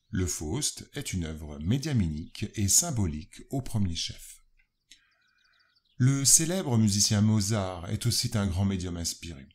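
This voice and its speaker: A man reading scripted educational narration in a formal, measured, literary manner. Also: deep voice, darkish tone. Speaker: narrator of a spiritist study guide.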